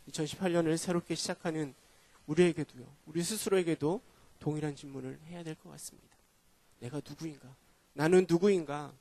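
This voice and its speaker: A male pastor preaching a sermon at a church prayer meeting, hoarse from a cold, earnest and putting rhetorical questions to the congregation. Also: a little high, a little fast, dark tone.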